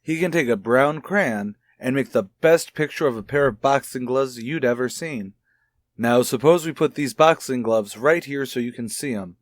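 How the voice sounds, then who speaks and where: An actor playing a kindly child psychologist in a mid-century radio soap opera, speaking in a measured, coaxing way. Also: male voice, mid-range voice, no echo, lightly acted. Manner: measured, coaxing, gently probing. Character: kindly, probing male child psychologist, a father. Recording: vintage radio recording with music.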